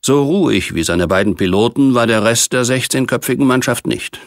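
A man narrating a science fiction story from a script, reading aloud in a measured way. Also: medium pitch, animated, no echo, clean studio sound. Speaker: audiobook narrator.

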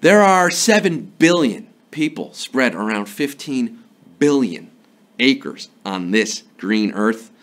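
A man in his thirties hosting a live trivia show from a studio, playful and jokey, a little hoarse from a sore throat. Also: mid-range voice, expressive. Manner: playful, jokey, slips into quick impressions and sung bits. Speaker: trivia game show host. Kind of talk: upbeat live quiz hosting. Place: studio before a green screen.

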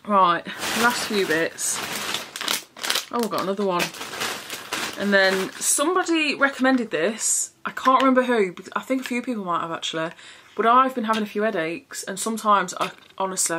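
A woman's voice: medium pitch, fairly bright tone, slight background noise. Chatty frank woman vlogging at home. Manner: chatty, frank, swears casually. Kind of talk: casual haul chat to camera. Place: small bedroom indoors.

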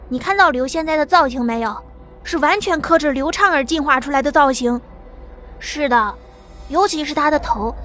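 A woman's voice: sits high, fairly bright tone. A woman voicing worried male brothers who watch from afar and speak in an exasperated, anxious way.

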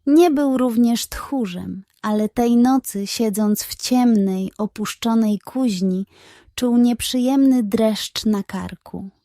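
A woman narrates a bedtime story for children. Her voice sits fairly high and she reads slowly, with measured expression. The sound is dry, with no room around it. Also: warm tone, no room sound.

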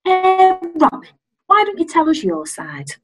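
A woman acts out a polite couples counsellor in a comic radio play. Her voice is very high and animated in intonation, yet she speaks slowly, with patient, measured diplomacy. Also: soft background music, exaggerated voice. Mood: soothing, diplomatic.

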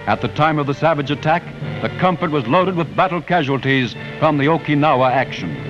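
High-pitched American man narrating a 1940s newsreel. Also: animated, fairly quick. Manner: declamatory, solemn patriotic delivery. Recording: dull vintage newsreel soundtrack with music.

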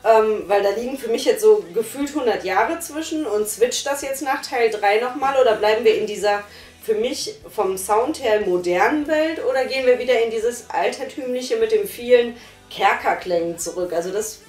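A tired young woman reviewing a radio drama in casual chat, measured and thoughtful, sitting on a sofa. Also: fairly high pitch, darkish tone. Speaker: podcast reviewer. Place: living room, sitting on a sofa. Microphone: far camera. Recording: roomy, far from the mic, faint music.

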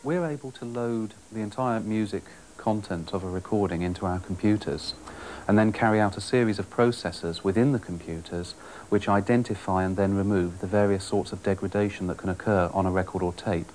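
Deep-voiced man explaining. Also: darkish tone. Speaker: company spokesman interviewed. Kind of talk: explanatory interview. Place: small office, indoors. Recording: faint office room noise.

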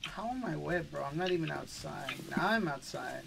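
A man's voice: a little high, animated, moderate pace, creaky, a crowd in the background. A man teasing his friends in a voice chat while gaming, laughing often. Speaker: gamer in a group voice chat. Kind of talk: joking banter among friends. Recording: loud keyboard clicks on the mic. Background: typing and keyboard clicks.

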